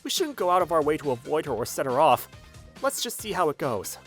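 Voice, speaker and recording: Sensible manga-dub husband, voiced by a woman: low, lively intonation, conversational, level-headed. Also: brisk. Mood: calm, reasoning. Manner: reasoning, conversational. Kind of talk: manga dub character acting. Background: background music.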